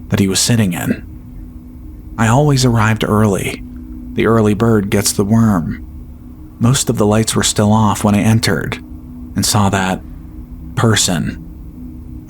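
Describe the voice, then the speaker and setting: A man reading a first-person horror story as a podcast narrator, in a measured, unhurried way. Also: on the low side.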